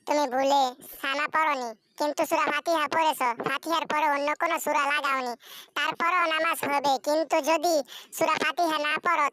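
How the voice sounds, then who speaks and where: A woman's voice: high-pitched, sharp tone, no echo. A woman voicing a patient cartoon teacher. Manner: patient, instructive, recites slowly for repetition. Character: kind female teacher of young children. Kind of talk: cartoon voice acting.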